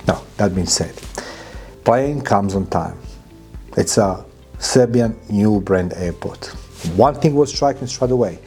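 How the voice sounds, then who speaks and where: A man's voice: lowish voice, takes it slowly, mellow, faint music behind. A man in his fifties from Croatia, speaking non-native English with a Croatian accent, telling travel stories emphatically as a vlogger. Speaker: vlogger and podcast host. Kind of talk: informal travel vlog monologue to camera. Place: furnished indoor room, hotel-like.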